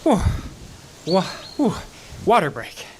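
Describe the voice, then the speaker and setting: A man's voice: high-pitched, huge pitch swings, slow, very noisy, exaggerated voice. A man playing a reluctant, cautious park ranger in an audio drama, speaking in a grumbling, wry, hesitant way. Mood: winded, complaining.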